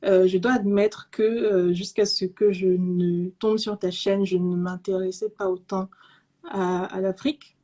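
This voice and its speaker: Woman, soft-spoken, hesitant, many fillers, dark mellow tone, remote call mic. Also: mid-range voice, fairly even intonation, a little slow. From Cameroon, raised in Zimbabwe. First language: English. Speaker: guest, pastry entrepreneur. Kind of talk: casual online interview. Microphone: remote call microphone.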